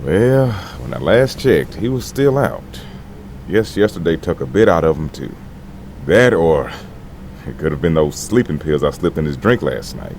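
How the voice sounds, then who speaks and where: A man acting a gruff but tender veteran with a gravelly voice in an audio drama, speaking gently and haltingly. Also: rumbling low, very animated, exaggerated voice. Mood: teasing. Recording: faint background noise.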